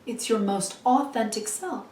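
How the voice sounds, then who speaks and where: A woman giving a weekly tarot reading in a chatty, encouraging way, full of "you know"s, her voice a little hoarse from a long day of talking. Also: fairly high pitch, a little slow, darkish tone. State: losing her voice, slightly hoarse. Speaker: tarot reader. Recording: echoey room, mic at a distance.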